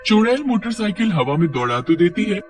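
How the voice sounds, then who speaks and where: Male narrator, slightly high voice, scripted horror storytelling over background music. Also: sing-song, a little fast.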